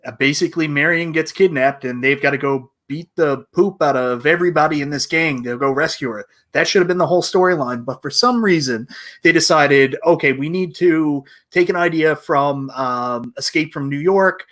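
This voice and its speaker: A man's voice: on the high side, moderate pace, fairly bright tone. A man chatting casually on an online panel, giving blunt, emphatic opinions. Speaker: panelist on an online video game show.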